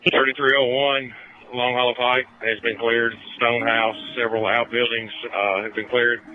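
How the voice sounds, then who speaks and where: A man's voice: medium pitch, level tone, normal speed. A man working as a search responder, reporting over a two-way radio. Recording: dull radio audio, clear guitar music.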